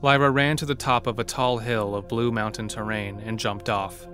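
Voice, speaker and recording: Guy narrating a horror audio story, scripted read, lowish voice, bit of music behind. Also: flat delivery, moderate pace. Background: faint music.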